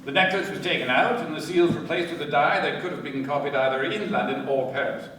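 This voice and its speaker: A man's voice: on the high side, fairly quick, fairly bright tone, natural voice. Man acting a methodical inspector in an echoey room. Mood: businesslike. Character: methodical, cautious male London police inspector. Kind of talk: radio play acting. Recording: very echoey, distant, with background noise.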